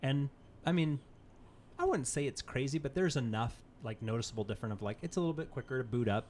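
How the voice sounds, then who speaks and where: A man's voice: medium pitch, expressive, fast, darkish tone, slight background noise. A man co-hosting a podcast, talking casually and informally about gaming news with loose, conversational phrasing. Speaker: podcast co-host.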